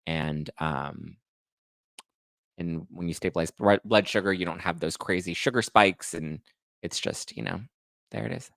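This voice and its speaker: A man's voice: low-pitched, a little slow, fairly bright tone, no room sound, studio quality. A man in his thirties hosting a casual gossip livestream, chatting to viewers in a sassy, playful, slangy way. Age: 30s. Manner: sassy, slangy, playful, addressing listeners as honey and boo. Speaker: host of a pop-culture livestream show.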